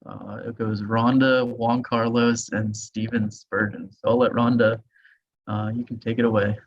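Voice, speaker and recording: A man's voice: medium pitch, little pitch movement, measured pace. Man moderating, dull video-call sound. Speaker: host and moderator. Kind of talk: informal webinar moderating.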